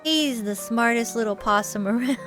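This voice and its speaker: A woman's voice: a little high, moderate pace. Playful woman gamer over music. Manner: playful, reactive, exclamatory. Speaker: gaming streamer. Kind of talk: playthrough commentary with amateur character reading.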